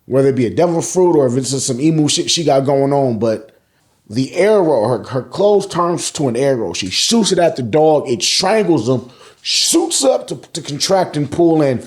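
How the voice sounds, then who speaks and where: Animated American man recapping manga. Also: fairly high pitch, normal speed. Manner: animated, profane, repeats phrases for emphasis. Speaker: host of a manga review show. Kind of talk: casual, slangy chapter recap commentary.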